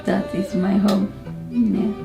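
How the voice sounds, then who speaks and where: A woman's voice: mid-range voice, steady tone, takes it slowly, creaky, echoey room. A woman from Ethiopia, a mother of four, speaking with simple, careful phrasing. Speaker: clinic patient, mother. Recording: music bed, far from mic.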